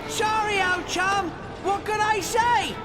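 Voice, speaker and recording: An actor playing a cheerful, roguish pirate who narrates in a hammy, theatrical storybook style for a video game. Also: male voice, extremely high pitch, fairly even intonation, takes it slowly, noisy background. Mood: cheeky. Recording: loud music behind the voice.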